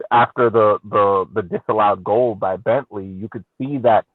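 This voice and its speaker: A man speaks very slowly and haltingly, often searching for words, as he gives casual sports analysis on a podcast. His voice comes through a tinny, phone-like line. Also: medium pitch, dry recording. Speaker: soccer podcast panelist. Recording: tinny phone-like connection, dry.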